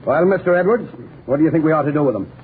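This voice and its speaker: Man acting a sarcastic high-pitched cop, tinny radio. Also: expressive, very fast, natural voice. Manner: interrogating, sardonic, bossy. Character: stern, sarcastic, suspicious policeman. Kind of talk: 1940s radio comedy acting. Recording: tinny, hissy old radio recording.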